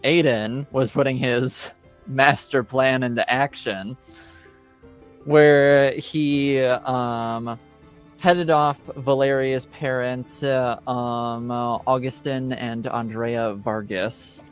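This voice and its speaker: A man acting as game master, recapping a tabletop roleplaying session in a halting, hesitant way with long filled pauses. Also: mid-range voice, steady tone, clean tone, faint music behind.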